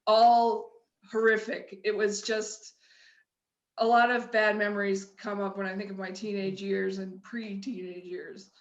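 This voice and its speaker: A woman's voice: medium pitch, level tone, unhurried, lo-fi sound. A middle-aged woman from the US Midwest with a Midwestern accent, telling her own story candidly as a podcast guest, with frequent restarts. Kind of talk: personal storytelling in a conversation. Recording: roomy echo.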